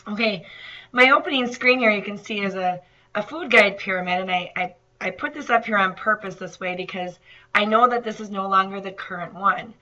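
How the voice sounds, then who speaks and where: A woman nutrition instructor giving a recorded online lecture, her voice slightly raspy from allergies, with many fillers and restarts. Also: medium pitch, fairly quick, bright, forceful tone, lo-fi sound. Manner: hesitant, many fillers and repeated words.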